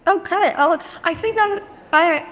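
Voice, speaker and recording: A woman from the Philippines moderating a panel, speaking reflectively with many pauses and fillers. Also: very high voice, fairly bright tone. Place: conference hall. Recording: long hall reverb.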